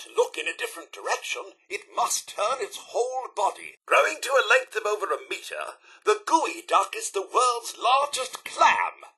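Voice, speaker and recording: An actor playing a fussy, loyal, polite robot butler in a video game, with a British accent and a prim, formal, theatrical manner. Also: male voice, high-pitched, big pitch swings, moderate pace, gravelly, sharp tone, husky, heavily acted. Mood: informative, theatrical. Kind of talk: video game character voice lines.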